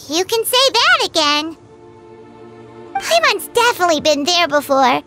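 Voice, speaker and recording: A woman voicing a small, excitable, childlike fairy companion in a video game, perky in manner. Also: extremely high pitch, unhurried, over music, heavily acted. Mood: weary, relatable. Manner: speaks of herself in third person, perky. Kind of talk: video game character acting.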